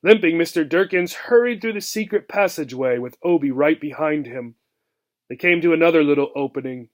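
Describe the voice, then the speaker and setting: A man with a slightly high voice reads a children's book aloud. His narration is expressive, in the style of a teacher telling a story to young listeners. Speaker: reader, teacher.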